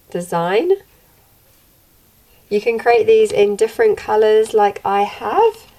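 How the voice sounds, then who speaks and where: Slightly croaky woman teaching a craft. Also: mid-range voice, rough recording. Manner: gentle, steady instructional delivery. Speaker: craft channel host. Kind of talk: step-by-step tutorial narration. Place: home room, indoors.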